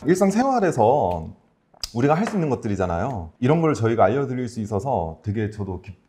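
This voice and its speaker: Animated man explaining health tips casually. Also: mid-range voice, fairly quick. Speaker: Korean medicine doctor and health show host.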